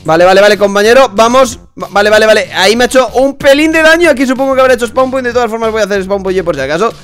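A young man hosting a casual game playthrough, excitable and quick to shout and swear. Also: sits high, clean tone, soft background music. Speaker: gaming channel host. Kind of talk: casual let's play chat.

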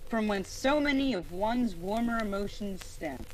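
A woman playing an earnest, gushing, pompously wordy high school boy in an old-time radio comedy. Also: expressive, normal speed, slight background noise, natural voice, poor audio. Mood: earnest, sentimental. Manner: florid, over-earnest, hesitant.

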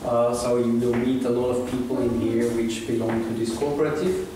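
A man's voice: medium pitch, level tone, moderate pace, mellow. A middle-aged man giving an informal meetup presentation to a seated audience, talking hesitantly with many fillers and restarts. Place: small meeting room with seated audience, indoors. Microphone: room camera from the back of the audience. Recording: echoey room, distant from camera, noisy.

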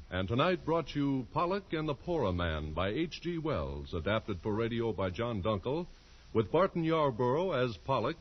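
Husky male old-time radio announcer. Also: mid-range voice. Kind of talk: scripted 1940s radio credits announcement. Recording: muffled old radio recording.

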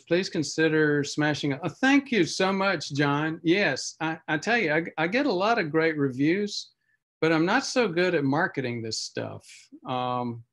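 A man's voice: a little high, fairly bright tone. A man in his sixties, a physician hosting a casual video-call update, talking conversationally and reading viewer comments in a self-deprecating way. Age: 60s. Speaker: physician host. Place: home office on video call. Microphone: video call webcam mic.

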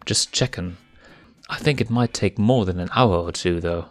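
A man's voice: low voice, moderate pace, close to an everyday voice. A man voicing a confident, sarcastic young male lion cub in a fanfic reading.

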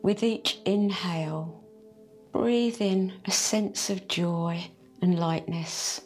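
Slow, soothing woman guiding meditation. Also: lowish voice, fairly even intonation. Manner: slow, soft and soothing, drawn-out phrases. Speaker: meditation teacher. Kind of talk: guided meditation. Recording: faint music behind the voice.

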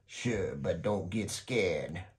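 A cocky girl monster from a storybook played by a man, with a low raspy voice. She is curt and snappy, proud of being fearsome. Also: animated, very slow, heavily acted.

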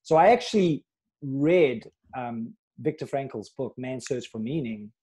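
Mellow-voiced middle-aged man from South Africa. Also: medium pitch, husky. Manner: earnest, steady storytelling with pauses and fillers. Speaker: podcast guest. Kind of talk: candid life story in a conversation. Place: small room with a plain wall. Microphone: wired earbud mic on a video call.

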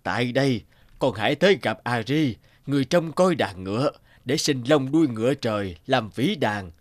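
A man's voice: medium pitch, expressive, moderate pace, dry recording, close to an everyday voice. Man voicing a solemn, wise old god. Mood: solemn, kindly. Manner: solemn, stately. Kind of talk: cartoon fairy-tale dubbing.

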